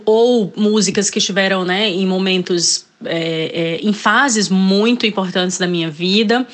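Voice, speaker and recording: A woman with a Northeastern Brazilian accent talks as a guest in a relaxed interview. She hesitates and restarts often, and pads her thoughts with small fillers. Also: mid-range voice. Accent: Northeastern Brazilian Portuguese. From Ceará, Northeast Brazil. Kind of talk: casual interview, reading passages aloud.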